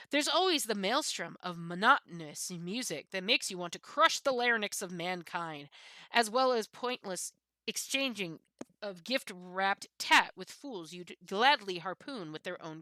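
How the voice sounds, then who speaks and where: A woman plays a pampered, misanthropic cat reading its musings from a book. The delivery swoops with pompous disdain and theatrical emphasis. The tone is bright and sharp, in a dry recording. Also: medium pitch, animated, unhurried, no echo. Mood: haughty disgust. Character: haughty misanthropic pampered cat, sneering at common people.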